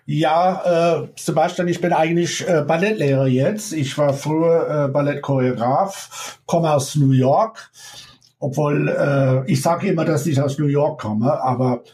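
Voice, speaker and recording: A middle-aged man from the United States with an American accent, a ballet teacher talking as an interview guest in halting German full of pauses and small laughs. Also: on the high side, fairly even intonation, darkish tone, a little hiss or hum. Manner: halting German with many ums and frequent laughs. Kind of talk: casual interview over remote connection.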